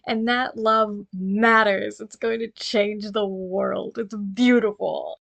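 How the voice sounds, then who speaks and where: A woman's voice: mid-range voice, steady tone, slow pace, vocal fry, a bit bright. A woman giving a prepared listener voice message, speaking earnestly and with enthusiasm about a story.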